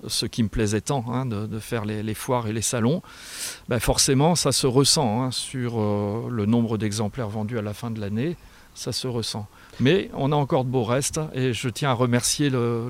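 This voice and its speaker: A man giving hesitant, reflective answers. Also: mid-range voice. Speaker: guest author and small publisher. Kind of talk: conversational radio interview answers.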